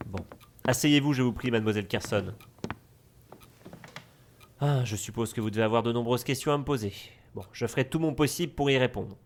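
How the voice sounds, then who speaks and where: A man's voice: medium pitch, brisk, pauses often, slight background noise, natural voice. A man playing a polite, evasive notary with a formal, hesitant, apologetic manner in a French audio drama. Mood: formal, courteous.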